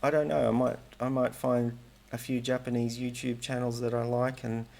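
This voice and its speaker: A man's voice: medium pitch, flat delivery, moderate pace, creaky, a little mellow. A middle-aged man talking casually in a vlog, rambling and hesitant, often restarting and correcting himself. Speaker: language learning vlogger.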